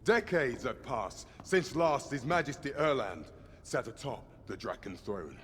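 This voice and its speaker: A man's voice: medium pitch, lively intonation, unhurried, slight background noise. A man playing a grandiose court herald in a fantasy game, making a formal, declamatory ceremonial proclamation. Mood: solemn, grand. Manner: pompous, declamatory, formal archaic diction. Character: grandiose male court herald addressing nobles. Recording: long hall reverb, loud music behind.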